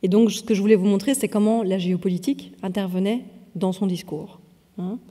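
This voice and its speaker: A woman's voice: medium pitch, brisk, breathy edge. Woman presenting video clips in a hall. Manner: explanatory, relaxed, with fillers. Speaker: trainer presenting on radicalisation. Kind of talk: presentation to an audience.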